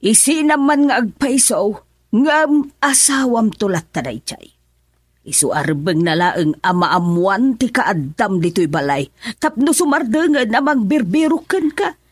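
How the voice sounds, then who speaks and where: A woman's voice: on the high side, expressive, measured pace, breathy edge, no room sound, studio quality. An actress with an Ilocano accent playing a worried mother who pleads tenderly with her grown children. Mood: firm. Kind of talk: radio drama acting.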